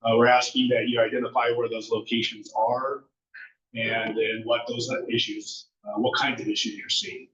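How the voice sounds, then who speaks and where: A man's voice: medium pitch, flat delivery, moderate pace, fairly bright tone. A man giving announcements at a neighborhood meeting, unscripted and full of fillers. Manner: unscripted, many fillers and restarts. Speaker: neighborhood association officer. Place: large echoey school meeting room. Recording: echoey, distant, muffled room audio.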